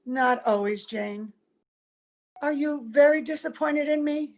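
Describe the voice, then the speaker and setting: Woman acting a high-voiced, wistful gentlewoman. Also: unhurried. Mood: gentle, concerned. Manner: earnest, gentle, melodramatic. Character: wistful, secretive mother posing as a young visitor. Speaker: actor in an amateur radio play. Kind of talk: old-time radio drama acting. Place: at home, recorded over video call.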